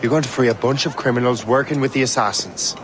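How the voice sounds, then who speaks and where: A man's voice: medium pitch, fast, natural voice. A man playing a cocky, determined roguish sea captain in a video game. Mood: cocky, dry. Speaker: game character actor. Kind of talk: cinematic video game acting. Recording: noisy outdoor game ambience.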